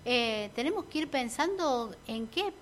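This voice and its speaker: A woman's voice: on the high side, lively intonation, unhurried, a little hiss or hum. A woman with an Argentine Spanish accent, an entrepreneur chatting casually on a talk show, reflective and full of fillers and restarts. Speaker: entrepreneur guest. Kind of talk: casual radio chat.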